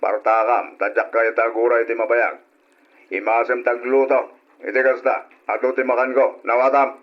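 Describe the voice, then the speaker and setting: A man's voice: medium pitch, flat delivery, moderate pace, close to an everyday voice. Man playing domineering, hard-drinking husband, muffled radio drama. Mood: scornful, bossy. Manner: scornful, bossy, barking orders. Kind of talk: old radio drama acting. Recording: dull lo-fi radio drama recording.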